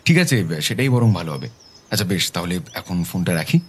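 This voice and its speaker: An actor playing a serious, determined detective in an audio drama, speaking in a deliberate way. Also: male voice, deep voice, lively intonation, a little hiss or hum. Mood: businesslike. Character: serious, determined male private detective, methodical and businesslike.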